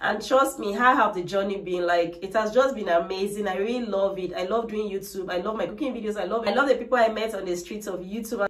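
A woman's voice: mid-range voice, brisk, hardly any pauses. A woman in her thirties chatting casually to camera as a vlogger, full of "like" and "trust me", filmed indoors without a mic. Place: bright decorated room indoors. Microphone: camera mic, no microphone. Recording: echoey room, voice a little distant.

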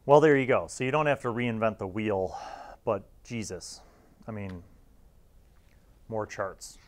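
Male host, forties, slightly deep voice. Casual, opinionated, conversational delivery, plain-spoken and blunt. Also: a little slow. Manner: conversational, blunt, often ends phrases with "right?". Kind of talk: casual opinionated review monologue. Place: small dark indoor studio. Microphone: lapel mic.

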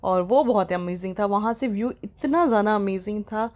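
A young woman talks through her trip in a casual, chatty voice-over, quick to get excited. Her pitch sits in the middle of the usual range. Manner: chatty, excitable, mixes English into Hindi. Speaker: travel vlogger. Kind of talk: casual travel vlog voice-over.

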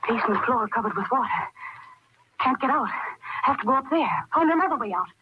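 Actress playing frantic confused woman killer, high voice, breathless fragments, old radio horror, very noisy recording. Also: expressive, a little fast, lo-fi sound, close to an everyday voice. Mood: panicked, dazed. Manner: breathless fragmented muttering, rising to cries. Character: panicked, confused woman murderer fleeing in darkness. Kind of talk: 1940s radio horror acting.

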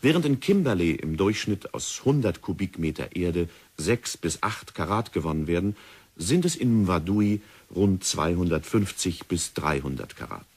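A man narrating a 1960s radio play in a measured, sober storytelling manner. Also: sits low, slightly rough. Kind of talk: scripted documentary narration. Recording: old radio play recording.

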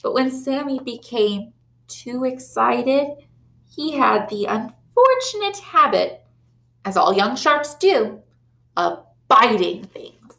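A young woman teacher reading a picture book aloud to children, animated and playful, with big gasps and leaps in pitch. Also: slow, gravelly. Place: small classroom, indoors. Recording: echoey classroom.

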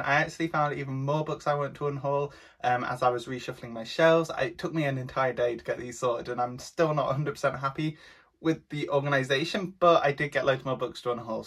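A young man chatting casually to camera, rambling with exaggerated rants while his voice is going hoarse. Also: a little high, a bit bright. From Britain. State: losing his voice. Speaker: book vlogger.

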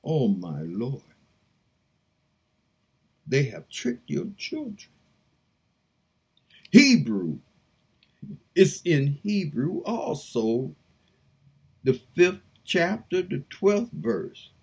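A woman prays aloud and reads scripture with fervent, pleading feeling. Her voice is husky and breathy, and she drags out her words very slowly. Her pitch swoops between low murmurs and high cries. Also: very animated, a little mellow. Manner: fervent, pleading, slow and drawn out. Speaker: preacher. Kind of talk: impassioned prayer and scripture reading.

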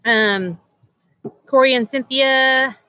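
Lady chatting live on her webcam outside, slow easy talk, bright clear voice, dry sound. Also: sits high, no room sound, low-quality recording. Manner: chatty, laughs often, many ums and restarts. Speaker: livestream host. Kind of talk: casual live chat reading comments. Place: outdoors by a house wall.

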